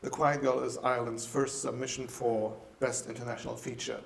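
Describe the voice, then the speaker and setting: A man's voice: medium pitch, level tone, brisk, creaky, a little hiss or hum. A man interviewing a film director, asking friendly, plain questions.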